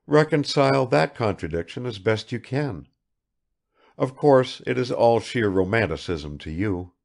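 A man plays a proud young noblewoman in a literary audiobook. The voice is raised high and moves slowly, with wide, expressive swings and a languid, world-weary, searching manner. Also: creaky. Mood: unhappy, proud. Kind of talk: dramatised literary audiobook dialogue.

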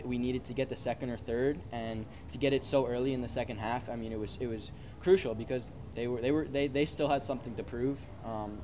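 Fast-talking young soccer player in a scrum. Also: male voice, mid-range voice. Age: 20s. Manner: quick, repeats words, casual fillers. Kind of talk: post-game media scrum. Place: busy stadium mixed zone, indoors. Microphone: reporters' phones and handheld mics held close. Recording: loud background chatter noise.

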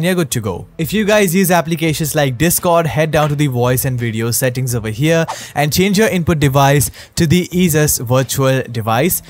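A man hosting a scripted tutorial and review as a YouTube narrator. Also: on the high side. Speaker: YouTube host. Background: faint music.

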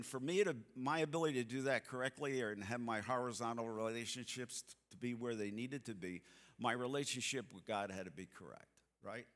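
Bright-voiced older man in a reverberant church. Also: medium pitch. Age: 70s. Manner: folksy, animated storyteller acting out quotes. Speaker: seminar speaker. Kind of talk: church seminar talk with storytelling. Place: church sanctuary stage, seated. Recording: long hall reverb from the sanctuary.

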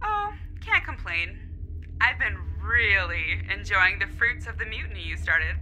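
An actress playing a cocky, gloating mutineer captor, casual and playfully taunting, in a science fiction audio drama. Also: female voice, medium pitch, sing-song, a little slow, pushed and bright, soft background music, full character voice, rough recording. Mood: cocky, teasing.

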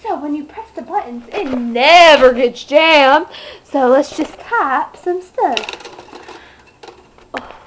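A young woman playing a bubbly typewriter fairy making a sing-song sales pitch in an amateur mock infomercial. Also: lively intonation, slow, a little hiss or hum. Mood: bubbly, salesy. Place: small carpeted attic room, indoors. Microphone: handheld camera. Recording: echoey home room, camera mic.

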